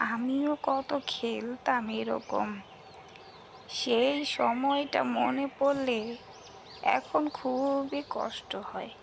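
Cartoon grandmother played by a woman: elderly, frail, high-pitched and creaky, gently rambling. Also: a little hiss or hum, heavily acted. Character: frail old village granny, wistful, gentle, toothless. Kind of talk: cartoon fairy-tale voice acting.

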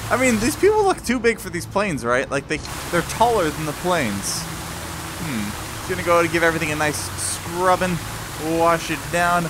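A young man gives casual, excited commentary as he plays a video game, playful and full of exclamations. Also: on the high side, moderate pace, noisy background. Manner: playful, exclamatory, talks along while moving in a VR game. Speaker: gaming video host.

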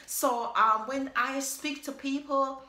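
A woman's voice: high-pitched, slow. A Jamaican woman in her thirties talking casually to her phone camera in a small home kitchen, chatty and laughing often. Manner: chatty, animated, laughs often, repeats "yes, guys". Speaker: vlogger. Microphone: phone camera at arm's length. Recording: echoey kitchen.

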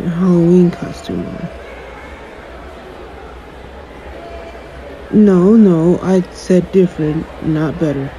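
A man's voice: high-pitched, dark tone, slight background noise, poor audio. A man playing a cocky, jaded young superhero in an audio roleplay, talking in a dry, sarcastic, aloof way. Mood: guarded, dismissive. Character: jaded, cocky young male superhero, dismissive of his fan. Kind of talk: audio roleplay acting, one-sided conversation.

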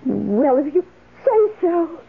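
A woman's voice: high voice, very animated, slow, gravelly, full character voice. A devoted, wistful woman in an old radio play. She hesitates and draws her words out emotively. The sound is tinny and hissy, like an old broadcast. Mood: tender. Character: wistful, lonely woman, devoted secret lover. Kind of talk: 1970s radio drama acting.

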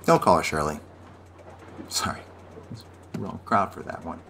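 A man's voice: sits low, normal speed, vocal fry, a bit bright, a little hiss or hum. An actor playing a curious, joking teenage boy with slangy phrasing in a fantasy audio drama. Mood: joking, sheepish.

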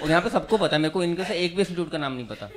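A man in his thirties doing stand-up, teasing audience members in quick back-and-forth banter on a club stage with a handheld mic. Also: on the high side, expressive, heavy background noise. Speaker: stand-up comedian. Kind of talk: crowd-work roast.

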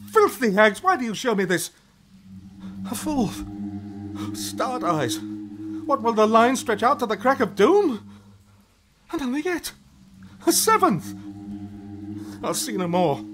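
A man's voice: very high voice, background noise, full character voice. An actor playing a haunted, ruthless warrior king in a declamatory Shakespearean radio drama. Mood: horrified, frantic. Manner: declamatory, volatile.

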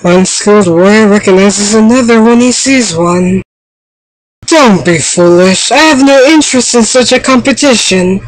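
A woman's voice: normal speed, no echo, lightly acted. A woman voicing a proud, stern young samurai warrior woman for a video game, with formal, haughty delivery on an amateur microphone. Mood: resolute. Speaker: amateur voice actor. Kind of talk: video game character voice reel. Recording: low-quality amateur microphone.

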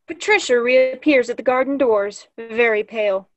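A young woman narrates a play's stage directions. Her voice sits slightly high. The sound is dry, with little room echo. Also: moderate pace, faint music behind. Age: young adult. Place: small room at home, video call.

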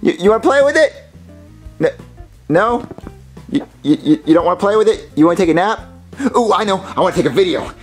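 A man playing a naive, excitable young boy in a comedy skit, stammering and exclaiming. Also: high-pitched, brisk, long pauses, faint music behind. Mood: eager, puzzled.

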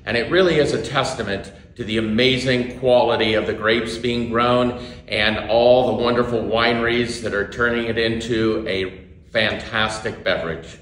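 A man's voice: mid-range voice, steady tone, moderate pace, fairly bright tone, a little hiss or hum. A middle-aged man from Washington state, a winery co-owner, speaking in a friendly, upbeat way with some filler sounds. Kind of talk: promotional to-camera talk. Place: tasting room at a wooden bar. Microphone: camera a few feet away. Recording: roomy indoor echo.

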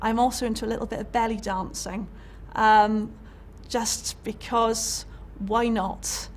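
A woman's voice: on the high side, steady tone, measured pace, slight background noise. A young woman with a Welsh accent, a college lecturer, talking candidly about her career in an interview, chatty with many fillers and restarts. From Wales. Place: indoor college room.

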